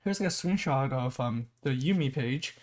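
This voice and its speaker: A young man with a sick, hoarse voice explains game strategy in a casual tutorial, halting with many ums and clearing his throat. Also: mid-range voice, animated. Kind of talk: casual screen-share tutorial.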